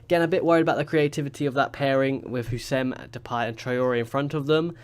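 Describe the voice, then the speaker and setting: A man's voice: medium pitch, fairly even intonation, quick pace, smooth. A man with a stuffy, blocked-up voice from a cold, chatting casually and rambling as he comments on his football management game. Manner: chatty, rambling with fillers and restarts. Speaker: gaming YouTuber. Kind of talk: casual let's-play commentary.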